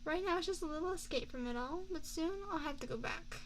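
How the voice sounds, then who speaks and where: A woman playing an affectionate young mafia boss girlfriend in an ASMR roleplay, speaking in a soft, confiding, measured way close to a binaural microphone. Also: high voice, slight background noise. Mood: guarded, serious. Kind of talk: ASMR girlfriend roleplay, scripted intimate monologue.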